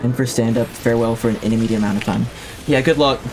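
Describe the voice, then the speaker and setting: A man's voice: mid-range voice, fairly even intonation, quick pace, a little mellow, faint music behind, rough recording. A man streaming a video game who chats with his viewers in a rambling, reflective way, often restarting his sentences, on a streaming mic with a limiter. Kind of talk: casual chat while livestreaming a game.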